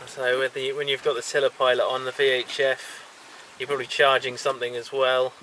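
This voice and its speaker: A man filming a casual video diary while sailing solo, talking in a halting way full of ums and restarts. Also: medium pitch, little pitch movement, bright, forceful tone, rough recording. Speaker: solo sailor vlogger. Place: outdoors on a small sailboat at sea. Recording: wind and boat noise. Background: wind, water and boat sounds.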